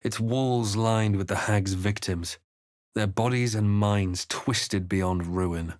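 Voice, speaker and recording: Watchful adventurer hero, video game, grave first-person narration, deliberate pacing, dry recording. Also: male voice, fairly low pitch, darkish tone, dry recording.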